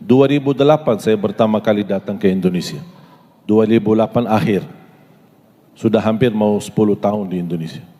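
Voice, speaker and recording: A man's voice: lowish voice, mellow. Male preacher in an echoey hall. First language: Arabic. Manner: asks the audience questions, recites in Arabic, slow deliberate listing. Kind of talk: sermon to a live audience. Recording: long echoey hall reverb.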